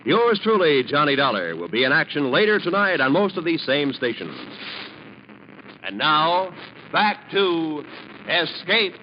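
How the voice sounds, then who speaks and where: A man's voice: on the high side, big pitch swings, measured pace. A man announcing a vintage radio promo in a polished, emphatic style. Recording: muffled old radio broadcast, faint noise.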